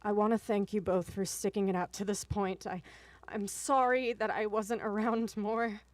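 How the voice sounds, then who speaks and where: A woman's voice: mid-range voice, little pitch movement, moderate pace, no room sound, natural voice. A woman acting in a radio drama as a regretful, loving mother leaving a recorded message, earnest and a little hesitant. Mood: apologetic, resolute.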